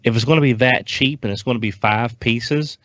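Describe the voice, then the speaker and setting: Mid-pitched man, dull dry audio. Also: fairly quick, a bit bright, dry recording. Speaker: podcast panelist. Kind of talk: casual panel discussion.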